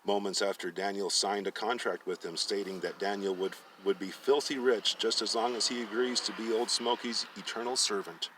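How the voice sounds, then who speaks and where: A man's voice: fairly low pitch, fairly even intonation, normal speed, few pauses, a bit bright, far from the mic, slight background noise. A man narrating fiction aloud as a storyteller, often stumbling and rereading phrases. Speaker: storyteller reading a book aloud.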